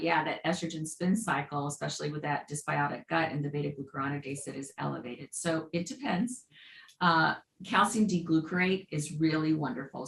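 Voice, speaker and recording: A middle-aged woman, a guest on a health podcast, explaining with enthusiasm and playful turns of phrase. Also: deep voice. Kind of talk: podcast interview. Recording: roomy echo, faint background noise.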